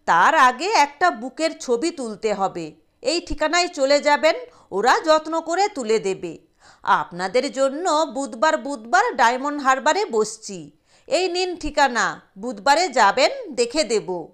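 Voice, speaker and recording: A kindly city lady doctor as a woman narrator plays her in an audio story. She has a high voice and slow delivery, gently instructing and reassuring poor villagers. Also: big pitch swings, heavily acted.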